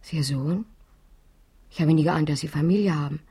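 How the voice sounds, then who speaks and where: An actress playing a reserved, quietly thoughtful young single mother in a German radio drama, with a breathy voice. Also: deep voice, normal speed. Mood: subdued, candid. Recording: music behind, 1970s radio play.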